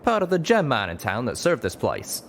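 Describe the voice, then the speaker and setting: A man's voice: medium pitch, huge pitch swings, normal speed, slight background noise, voice pushed up. A man voicing a folksy, cocky young male pegasus cowboy with a drawl in an audiobook reading. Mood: informative.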